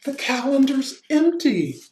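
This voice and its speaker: A woman voicing a puzzled male schoolteacher in a storybook reading, dry and measured. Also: high voice, big pitch swings, a little mellow, pitched above the performer's own voice, exaggerated voice. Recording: roomy echo.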